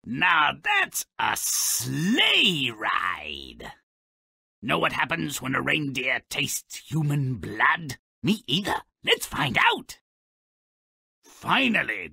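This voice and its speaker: A man voicing a mischievous, grumpy little creature as a sardonic video game narrator, with a gravelly, raspy voice and a mocking, grandiloquent manner. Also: on the high side, pushed and bright, no echo, studio quality, exaggerated voice. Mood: maniacal glee. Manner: mocking, grandiloquent, relishing misfortune.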